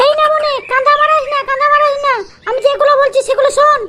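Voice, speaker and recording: A woman playing a bossy, scolding village wife in an amateur comedy cartoon, nagging and commanding through a squeaky pitched-up effect. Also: level tone, fast talker, sharp tone. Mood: angry.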